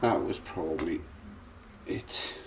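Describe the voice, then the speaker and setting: A man's voice: extremely low pitch, expressive, slow, noisy background. A man giving a casual hands-on tutorial, thinking aloud to viewers as he works, in the manner of a repair video presenter. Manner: thinks aloud while working, talking to viewers.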